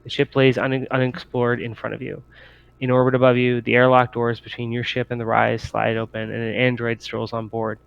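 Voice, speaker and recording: A man's voice: mid-range voice, completely flat delivery, fairly quick, vocal fry, clear, resonant tone, dull audio. A man working as game master, narrating a sci-fi tabletop roleplaying game in descriptive, improvised storytelling.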